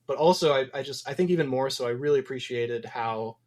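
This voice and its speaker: A man's voice: mid-range voice, a little fast, a little mellow. A man from Wisconsin, a game developer guest in a casual podcast interview, talking thoughtfully with many ums. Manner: thoughtful, rambling with frequent ums.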